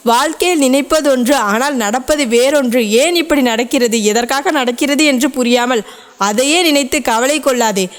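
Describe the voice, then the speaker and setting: A loving, ageless mother goddess, voiced by a woman, speaks in a high, tender voice to a devotee she calls her child. Her words are solemn and reassuring, as in a devotional message. A long reverb rings around every phrase, as if in a vast hall. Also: natural voice.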